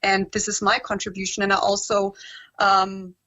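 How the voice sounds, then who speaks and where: A woman's voice: mid-range voice, monotone, normal speed, pushed and bright. Young woman guest, upbringing, sincere and chatty, muffled lo-fi audio. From born in Romania, raised in Germany. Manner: earnest, many "um" and "like" fillers. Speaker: guest, personal development coach. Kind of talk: casual interview conversation.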